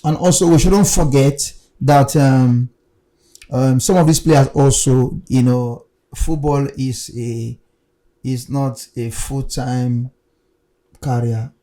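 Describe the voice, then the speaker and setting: A man's voice: mid-range voice, fairly even intonation, slow, dark tone, low-quality recording. A man hosting an unscripted football discussion, explaining his points in a conversational way. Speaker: sports talk show host.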